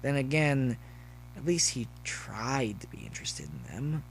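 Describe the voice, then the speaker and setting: A man's voice: mid-range voice, big pitch swings, measured pace, vocal fry. Man narrating a story over faint hum. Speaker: narrator, fan fiction reader.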